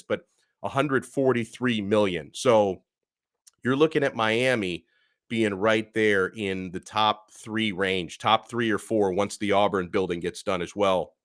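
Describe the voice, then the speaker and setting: A man hosting a college football podcast, talking casually as he runs through figures and drops quick asides. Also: fairly low pitch, a bit bright, dry recording, clean studio sound. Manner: conversational, punctuates lists with asides. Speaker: sports podcast host. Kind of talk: casual sports talk, reading through figures.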